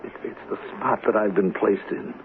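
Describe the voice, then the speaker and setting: A man's voice: on the low side, fairly even intonation, moderate pace, close to an everyday voice. Man acting a vain politician, old radio sound. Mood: ashamed, uneasy. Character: smooth, vain politician, privately snobbish and anxious. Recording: narrowband, noisy old radio recording.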